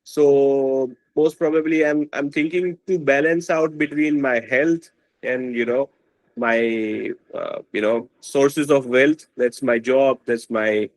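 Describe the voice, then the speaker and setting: A man, a podcast guest, talking in a hesitant, halting way full of fillers. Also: mid-range voice, dry recording, rough recording. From India. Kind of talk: casual interview talk.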